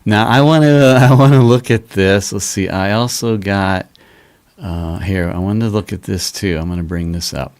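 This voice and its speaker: Casual livestream host, male, smooth low voice, very dry close sound. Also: normal speed, a little mellow, no room sound. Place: live video stream.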